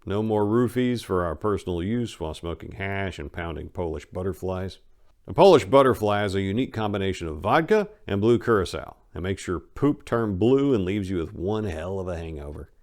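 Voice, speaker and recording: Male storyteller and host, lowish voice, informal dramatic reading with a conversational feel. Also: animated, fairly quick, a little mellow.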